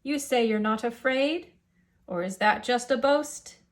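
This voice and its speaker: A woman's voice: fairly high pitch, measured pace, natural voice. A woman voicing a huge, jovial male giant who coaxes in rhyme for a children's storybook. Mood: jovial, coaxing. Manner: coaxing, rhyming speech. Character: huge many-headed male giant, jovial, coaxing, music-loving.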